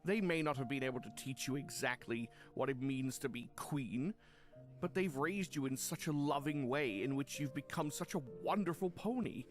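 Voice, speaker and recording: A man playing a reformed chaos creature in an animated drama, playful and theatrical, sincere and a little awkward. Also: mid-range voice, faint music behind, natural voice. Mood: earnest encouragement. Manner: sincere, theatrical, halting when shy. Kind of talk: animated fan drama dialogue.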